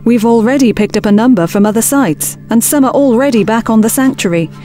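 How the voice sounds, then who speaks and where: A woman acting a skeptical, practical expedition officer in a science fiction audio drama, brisk and matter-of-fact. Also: fairly high pitch, mellow, close to an everyday voice. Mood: firm, skeptical. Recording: music bed under the dialogue.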